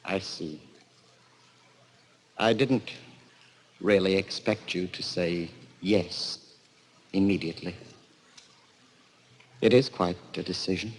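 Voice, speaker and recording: A man's voice: lowish voice, breathy edge. Brooding, fervent man obsessed with death. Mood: low, earnest. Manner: theatrical, brooding, fervent speechifying. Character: intense, obsessed husband, worshipper of death and destruction. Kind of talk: 1940s radio drama acting.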